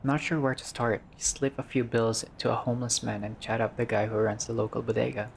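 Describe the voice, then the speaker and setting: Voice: medium pitch, little pitch movement, fairly quick, creaky. Noisy recording of a casual gamer reading aloud. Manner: informal, swears, stumbles while reading. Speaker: gamer reading and reacting to a visual novel playthrough. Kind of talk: casual let's-play, reading game text aloud with asides.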